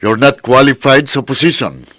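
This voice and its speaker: A man with a Filipino accent playing a stern, disappointed company boss and godfather in a drama reenactment, speaking in a deliberate, accusatory way. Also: mid-range voice, a bit bright, no room sound, lightly acted. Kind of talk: television legal drama reenactment acting.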